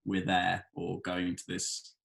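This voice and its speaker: A man's voice: sits low, monotone, slow pace, creaky, a bit bright. A man, an actor at a table read, chatting casually and loosely about his part. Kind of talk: casual chat about a script.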